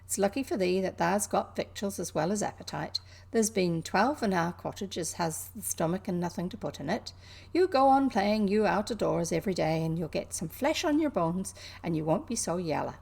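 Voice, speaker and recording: A blunt, kindly young maid from a storybook, talking in broad, hearty Yorkshire dialect with a Scottish or Irish lilt creeping in, as a woman reader puts her on. The voice has a gravelly, husky edge. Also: on the low side, expressive, normal speed, a little mellow, heavily acted. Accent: Yorkshire, read with some Scottish or Irish lilt.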